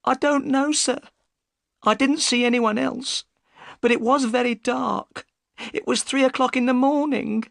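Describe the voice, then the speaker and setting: An upset boatman's wife, high pitched and plaintive, polite sir-and-madam type, storybook voice, really dry recording. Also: steady tone, unhurried, gravelly, fairly bright tone, no room sound, full character voice. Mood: subdued, polite. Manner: deferential, plaintive. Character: large, upset, worried boatman's wife, tearful.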